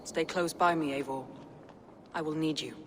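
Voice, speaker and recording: An actress as a stern female warrior chieftain from a historical video game. She speaks gravely and deliberately, carrying the weight of betrayal, over faint background noise. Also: low-pitched, steady tone, close to an everyday voice. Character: commanding Danish woman clan leader, stern and wounded by betrayal. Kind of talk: cinematic game voice acting.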